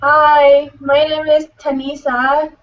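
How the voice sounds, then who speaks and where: A young woman from Thailand speaks in a fairly high voice. She sits away from the microphone, so her voice sounds distant and muffled. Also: little pitch movement, slow. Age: young adult. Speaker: student panelist. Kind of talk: casual self-introduction.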